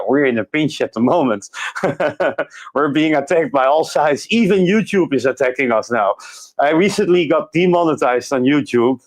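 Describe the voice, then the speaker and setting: Guy chatting on a podcast, slightly high voice, lots of uhs and little laughs. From Netherlands. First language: Dutch. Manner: chatty, chuckles often, many fillers. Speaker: podcast guest and YouTuber. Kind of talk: casual chat. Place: his home studio.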